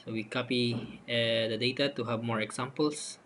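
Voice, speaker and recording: Man narrating a software tutorial over noise. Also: medium pitch, moderate pace. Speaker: software demonstrator. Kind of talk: step-by-step screen tutorial narration. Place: voice-over for a screen recording. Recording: noticeable steady background noise.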